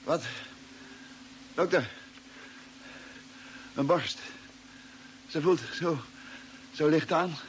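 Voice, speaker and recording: An actor playing a confused, irritable male astronaut waking up weakened in a Dutch radio drama, querulous and halting. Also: on the high side. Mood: gasping, alarmed. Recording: noisy old radio drama recording.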